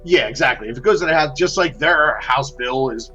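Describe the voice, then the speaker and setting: A man co-hosting a casual political talk show, animated, repeating words and saying "you know" a lot. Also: mid-range voice, brisk, lo-fi sound, music in the background. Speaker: podcast co-host.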